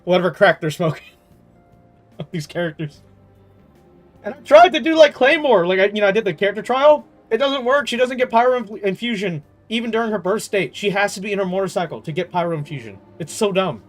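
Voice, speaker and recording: A man giving casual gaming commentary as a streamer, talking in an animated, slangy, exasperated way. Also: sits high, a little fast, faint music behind. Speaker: game streamer.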